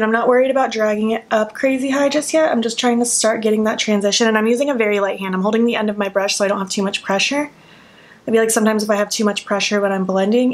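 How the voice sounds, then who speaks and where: A young woman in a casual makeup tutorial, talking softly with a sleepy early-morning voice and narrating each step as she works. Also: on the high side. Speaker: beauty vlogger. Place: at home.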